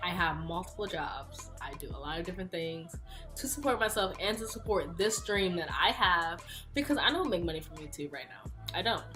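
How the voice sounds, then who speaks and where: A woman's voice: on the low side, animated, fairly quick, music in the background. A woman in her early twenties, chatting casually and playfully through a question-and-answer vlog. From Houston, Texas. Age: 20s. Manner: chatty, playful, laughs often. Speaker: YouTuber.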